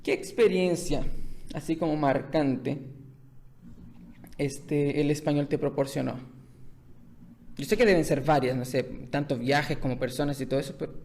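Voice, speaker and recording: A man's voice: fairly high pitch, normal speed, long pauses, darkish tone, slight background noise. Laughing Chilean man hosting a chat. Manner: laughs often, jokes, restarts words. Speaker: podcast host. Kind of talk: casual interview over video call. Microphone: video call.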